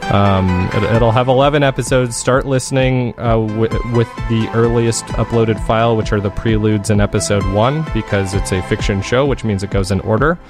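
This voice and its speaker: A man's voice: lowish voice, moderate pace, few pauses, music in the background. A man speaking as an interview guest, chatting casually and enthusiastically in rambling explanations. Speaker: guest, fiction podcast maker.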